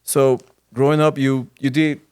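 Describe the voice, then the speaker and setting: A man hosting a podcast, asking informal, halting questions. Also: mid-range voice, level tone, darkish tone, smooth. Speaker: podcast host. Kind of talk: casual interview questions.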